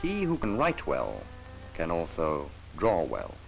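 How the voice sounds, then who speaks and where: A man narrating a scripted 1950s educational film in a solemn, measured, poetic manner. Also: rumbling low, wildly swooping pitch, gravelly, faint music behind. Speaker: documentary narrator. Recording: noisy 1950s archival film soundtrack.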